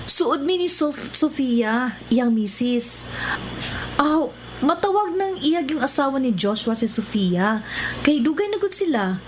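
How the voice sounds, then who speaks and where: An actress with a Visayan Filipino accent playing a chatty, inquisitive beauty parlor customer in a radio drama. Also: female voice, sits high, sing-song, a little slow. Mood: curious. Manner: curious, gossipy questioning. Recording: noisy radio drama recording.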